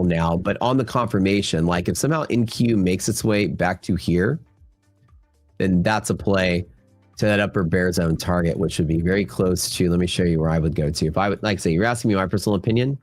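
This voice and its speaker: Deep nasal man with a cold. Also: expressive, moderate pace, faint music behind. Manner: loose, filler-heavy talk to the chat. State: stuffy from a cold, voice worn. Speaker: futures trading livestream host. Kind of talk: casual live market commentary.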